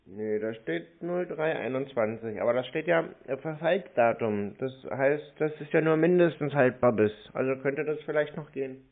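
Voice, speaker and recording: A man's voice: medium pitch, normal speed, hardly any pauses. A man on a casual phone call, answering as a clueless customer in a hesitant, colloquial way. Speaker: prank scam-baiter playing a clueless customer. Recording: noisy, muffled phone line with clicks.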